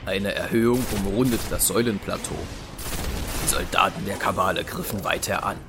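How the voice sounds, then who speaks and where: A man's voice: low-pitched, fairly quick, heavy background noise. A man narrating a German science fiction audio drama in a dramatic, scripted storytelling style.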